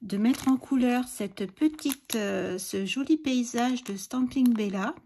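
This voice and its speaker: Woman, hoarse from a cold, gravelly, mellow, chatty crafting tutorial host. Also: on the high side, slow pace, a little hiss or hum. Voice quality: raspy, breathy, gravelly. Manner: chatty, hesitant, often half-whispering while working. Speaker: card-making tutorial host.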